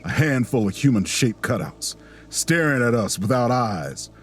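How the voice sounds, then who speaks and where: A man acting a folksy, watchful traveler in an audio drama. He takes his time with each line and swings his pitch expressively in a soft drawl, with faint music behind him. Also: lively intonation, close to an everyday voice. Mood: hushed dread. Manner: slow, suspenseful first-person narration. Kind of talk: audio drama narration and dialogue.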